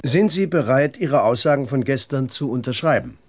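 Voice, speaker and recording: An actor playing a dry police inspector in a radio drama, formally questioning with sardonic jabs. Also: male voice, medium pitch, lively intonation, normal speed, over loud music, lightly acted. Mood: brisk, questioning.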